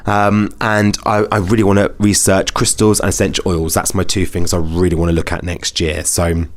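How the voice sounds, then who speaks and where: A man's voice: low-pitched, level tone, moderate pace. A man with a British accent hosting a wellness podcast, talking in a casual, chatty, rambling and informal way. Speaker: podcast host.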